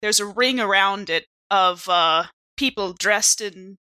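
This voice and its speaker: Woman playing an evasive, bright-voiced station snoop. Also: mid-range voice, takes it slowly, clear, resonant tone, dry recording. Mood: urgent, uneasy. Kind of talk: improvised in-character tabletop roleplay.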